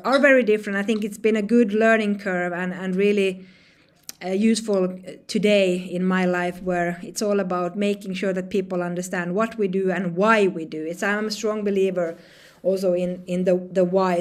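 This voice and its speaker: Woman, forties, from northern Sweden, founder guest, loose talker who restarts her sentences often. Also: mid-range voice. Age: 40s. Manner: halting, many restarts and fillers, light laughs. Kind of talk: conversational interview answers.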